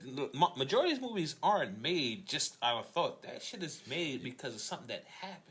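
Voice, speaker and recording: A man's voice: on the high side, big pitch swings, fast talker, a bit bright. A man in a casual podcast chat as co-host, emphatic and slangy, swearing freely.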